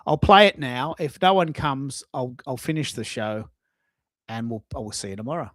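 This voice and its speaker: A man's voice: medium pitch, normal speed, a bit bright, dry recording, clean studio sound. A man with an Australian accent, hosting a live audio show in a casual, rambling, hesitant way. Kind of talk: casual unscripted live chat.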